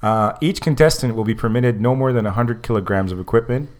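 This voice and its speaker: A man's voice: mid-range voice, a little fast, darkish tone. A man hosting a casual podcast, reading news aloud with wry asides and frequent laughter. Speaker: podcast host.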